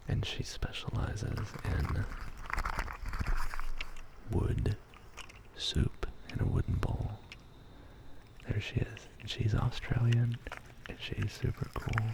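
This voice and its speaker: A man from Texas hosting a whispered ASMR livestream, chatting softly and answering his chat from a studio condenser microphone. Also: lowish voice, little pitch movement, takes it slowly, pauses often, very noisy. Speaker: ASMR streamer. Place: home studio. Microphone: studio condenser mic.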